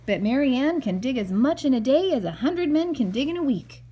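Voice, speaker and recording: A confident steam-shovel man from a storybook, voiced by a woman reading aloud. He boasts eagerly and with emphasis. The voice is raised in pitch and mellow, with a slight huskiness. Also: expressive, fairly quick. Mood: proud, boastful.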